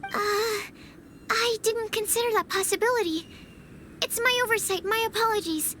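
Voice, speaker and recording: A woman's voice: very high voice, moderate pace, sharp tone, a little hiss or hum. A woman voicing a timid, polite young female alchemist who stammers and apologizes. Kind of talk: video game voice acting.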